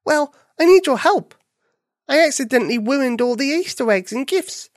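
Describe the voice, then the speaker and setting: A woman voicing a small, earnest young male bunny in a children's story, anxious and rushed, with a pleading manner. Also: high-pitched, animated, no room sound, studio quality, pitched above the performer's own voice. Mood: urgent, pleading.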